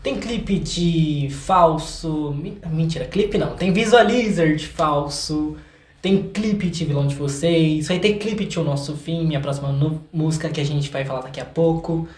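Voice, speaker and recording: A young Brazilian man chatting casually as an interview guest, with a playful, expressive manner and words repeated in quick bursts. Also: on the high side. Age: young adult. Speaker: podcast guest, singer-songwriter. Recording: echoey room sound.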